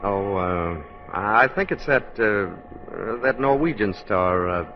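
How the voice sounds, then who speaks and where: An actor playing a halting, matter-of-fact elevator operator in a 1940s radio comedy. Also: male voice, on the low side, lively intonation, over music. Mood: dutiful. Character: hesitant, slow-witted male elevator operator. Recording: muffled, noisy vintage 1940s radio broadcast.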